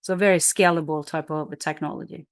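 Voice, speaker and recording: Low-voiced woman CEO explaining eagerly. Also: brisk, no room sound, clean studio sound. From Norway. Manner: energetic, explanatory, with fillers and restarts. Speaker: company CEO. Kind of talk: business interview answers.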